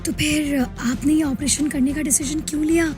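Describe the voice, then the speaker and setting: A high, anxious young female assistant played by an actress in an audio drama. She sounds hesitant and questioning as she addresses her senior, with music behind her. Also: steady tone, normal speed, close to an everyday voice. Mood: worried. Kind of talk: Hindi audio drama acting. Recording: music bed under voice. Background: background music.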